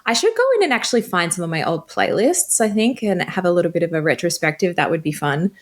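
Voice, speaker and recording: A woman in her thirties from Australia with a softened Australian accent, chatting upbeat and laughing easily as an interview guest. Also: lowish voice, lively intonation, quick pace. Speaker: guest, tech creator. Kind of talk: casual interview chat.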